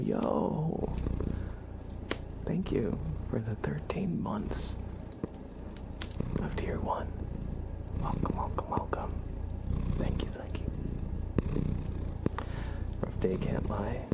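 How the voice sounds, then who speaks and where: Man, ASMR streamer, hushed whisper, gravelly creak, gentle repetitive murmuring, casual chat. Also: a little high, very animated, very slow, pauses often. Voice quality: whispery, breathy soft voice. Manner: gentle murmuring, often repeats phrases. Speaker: livestream ASMR host.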